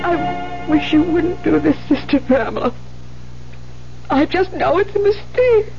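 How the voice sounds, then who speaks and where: An actress playing a gentle, anxious older nun in a 1970s radio drama, faltering and breathy as she pleads. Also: sits high, lively intonation, unhurried, soft background music, exaggerated voice. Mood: sorrowful. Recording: muffled, hissy 1970s radio recording with hum.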